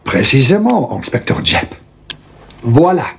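An actor playing a small, self-assured Belgian detective with a French accent, theatrical and politely lecturing in a radio crime drama. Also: male voice, mid-range voice, sing-song. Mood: patiently explaining. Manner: theatrical, deliberate, polite, lecturing, teasing rhetorical questions.

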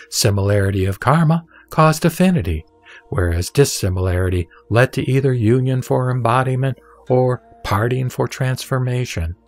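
Measured man reciting scripture over music. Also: mid-range voice, a little mellow. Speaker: sutra reciter and narrator. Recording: background music under the voice.

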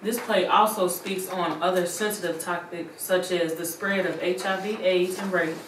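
A woman's voice: lowish voice, fairly even intonation, normal speed. A woman, an actress, speaking in a rehearsed appeal for a stage play. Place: indoor living room. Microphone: distant camera microphone. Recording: echoey room, distant mic, clear noise.

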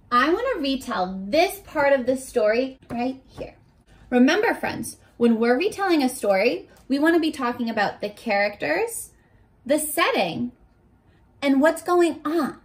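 A woman in her twenties reading aloud and teaching young children in an animated, encouraging way, pausing to ask questions, recorded at arm's length indoors. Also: fairly high pitch. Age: 20s. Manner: animated, encouraging, asks questions and pauses for answers. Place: small furnished bedroom, indoors.

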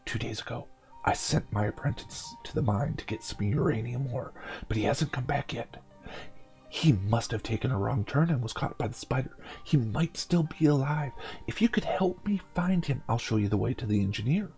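A man's voice: mid-range voice, lively intonation, moderate pace, vocal fry, darkish tone, soft background music. A man voicing a sad, bulky blacksmith who earnestly asks for help in a storybook game. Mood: sad, pleading. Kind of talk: storybook game read-aloud.

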